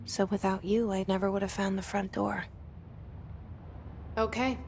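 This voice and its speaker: A woman's voice: medium pitch, flat delivery, a little slow, a little mellow, a little hiss or hum, natural voice. An actress playing a guarded, searching young woman with an American accent, in an understated, wry, terse way. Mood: guarded, curious. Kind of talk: naturalistic game acting, introspective monologue and dialogue.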